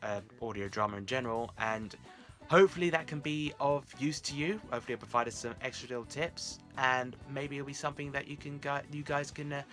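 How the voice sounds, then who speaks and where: A man speaks casually to his viewers as a vlog host. His voice is bright and forward, at a middling pitch. Soft music plays behind him. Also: a little fast.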